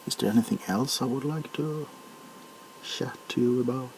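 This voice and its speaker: A man rambling casually for an ASMR video, with a halting, unhurried, hesitant way of talking. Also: medium pitch, darkish tone, slight background noise, poor audio.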